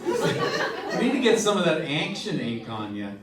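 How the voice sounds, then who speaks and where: A man's voice: fairly high pitch, wildly swooping pitch, measured pace. A man playing a bubbly, doting female companion in a comic live radio sketch, chirpy and playful. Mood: gleeful, teasing. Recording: distant, echoey stage with background noise.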